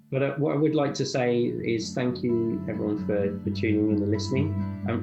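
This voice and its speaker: A man hosting a podcast, talking in a thoughtful, halting way that often repeats words. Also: medium pitch. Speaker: podcast host. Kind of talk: casual podcast chat. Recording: echoey room.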